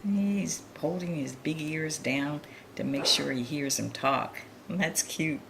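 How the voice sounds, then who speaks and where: A woman's voice: deep voice, slow pace, creaky, background noise. A woman reading a picture book aloud to young children, with chatty, encouraging asides and chuckles over the pictures. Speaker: children's storytime reader.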